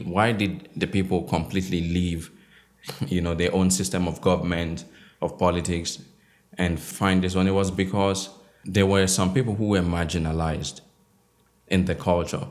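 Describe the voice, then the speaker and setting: A man from Nigeria, a novelist in his thirties, answering interview questions in a measured way with many fillers. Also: low-pitched. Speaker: novelist guest.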